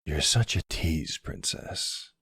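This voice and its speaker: A man playing a smug, flirty vampire in an intimate ASMR roleplay, teasing and softly menacing, with a husky, drawling delivery. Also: expressive, slow, no room sound, clean studio sound, heavily acted. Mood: menacing, playful. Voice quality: husky, gravelly, very deep. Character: flirty, smug male vampire taunting a captive hunter.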